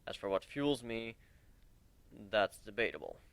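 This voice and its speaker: Man playing a grave, low-toned stoic samurai. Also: sing-song, measured pace, a bit bright, smooth, dry recording, full character voice. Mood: grim, matter-of-fact. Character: grim, weary, scarred samurai warrior, stoic and measured. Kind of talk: dramatic reading of fan fiction.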